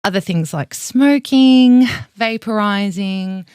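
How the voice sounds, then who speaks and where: A woman's voice: mid-range voice, slow, a little mellow, smooth, no room sound, clean studio sound. A woman vocal coach with an Australian accent, explaining vocal health in a frank, teacherly way and swearing casually.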